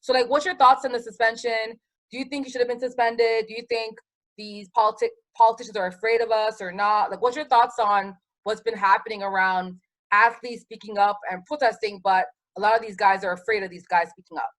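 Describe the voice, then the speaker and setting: A woman from Trinidad with a Trinidadian accent, co-hosting a podcast in casual chat, animated and quick to laugh. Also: fairly high pitch, poor audio. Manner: animated, laughs easily, rapid rambling asides. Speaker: podcast co-host.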